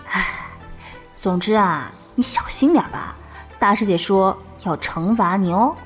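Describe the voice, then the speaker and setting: An actress playing a lively, gossipy young woman in an online game drama, exclamatory and teasing. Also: medium pitch, measured pace, close to an everyday voice. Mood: teasing warning. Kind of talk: modern audio drama acting. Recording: music behind the voice.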